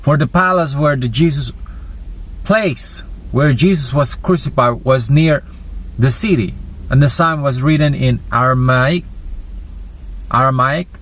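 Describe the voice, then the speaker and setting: A man narrating a dramatized Bible reading, deliberate and phrase by phrase. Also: medium pitch, fairly bright tone. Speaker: scripture narrator.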